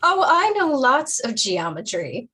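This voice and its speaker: Mother, played by a woman: unhurried, expressive pitch, composed and quietly firm, sci-fi stage drama. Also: husky, heavily acted. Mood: playful. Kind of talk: staged reading of a science fiction play.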